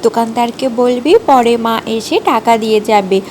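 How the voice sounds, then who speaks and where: A woman's voice: fairly high pitch, steady tone, normal speed, darkish tone, slight background noise. A woman acting out dialogue in a children's doll-play story.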